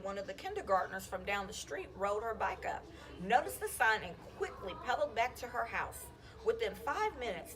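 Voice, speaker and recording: Female storyteller for a kids' chapter book, lively varied intonation, slight background noise. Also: medium pitch. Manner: animated, acts out dialogue. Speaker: school counselor reading aloud to students. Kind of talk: expressive children's audiobook read-aloud.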